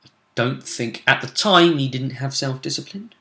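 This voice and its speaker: A man's voice: medium pitch, normal speed. A man hosting a tabletop role-play show, chatting casually through a game recap and throwing out rhetorical questions. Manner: animated, conversational, asks rhetorical questions.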